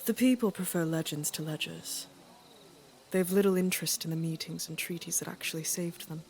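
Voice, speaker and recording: A woman playing an aging fantasy queen in an audio drama, stately and measured, dryly witty, weary and fond. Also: sits low, a little mellow, slight background noise.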